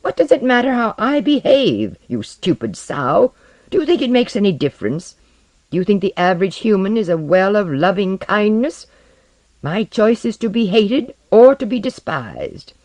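A reader voicing a sneering, bitter loner man, pitched up. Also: very animated, normal speed, no room sound. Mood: scornful. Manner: cutting, precise, jeering.

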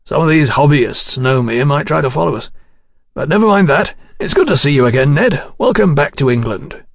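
A man's voice: on the high side, expressive, a little fast, no room sound, studio quality. A man voicing a keen, proud British engineer in an audiobook, earnest, technical and chummy. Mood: friendly. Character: keen, proud male British engineer explaining his machines.

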